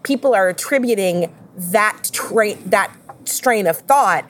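Female podcast host, conversational and thinking aloud, average pitch, recorded with noticeable background noise. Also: animated, slow pace. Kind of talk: casual group discussion.

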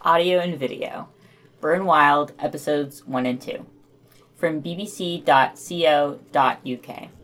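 A woman's voice: sits low, a little slow, a bit bright. A woman hosting a podcast, reading scripted news items and reviews with her own commentary.

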